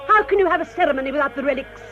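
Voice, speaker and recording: An actress playing a bitter, defiant middle-aged or older spinster in a British radio drama, with precise, accusing, overwrought delivery. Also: female voice, high voice, very fast, sharp tone. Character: bitter, defiant, unstable spinster protest leader. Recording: muffled radio drama, crowd behind.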